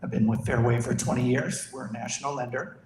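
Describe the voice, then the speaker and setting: A man's voice: mid-range voice, fairly even intonation, fast, a little mellow. A man, a mortgage lender, confidently introducing himself and asking the audience questions. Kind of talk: self-introduction at a meeting. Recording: echoey room, faint noise.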